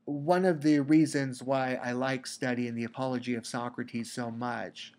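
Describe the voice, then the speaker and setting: Male teacher with a cold, congested, unhurried lecture delivery with restarts. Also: medium pitch, darkish tone. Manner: slow, deliberate, with repeated restarts. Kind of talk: recorded lecture. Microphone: computer mic for a screen recording.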